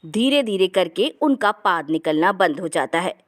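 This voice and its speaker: A woman's voice: on the low side, lively intonation, normal speed. A woman narrating a scripted comic family story as a storyteller.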